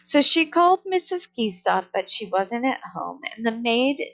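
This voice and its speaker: A woman reading a children's story aloud in an expressive narrating style, on a slightly dull home recording. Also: on the high side, moderate pace. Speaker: children's book reader.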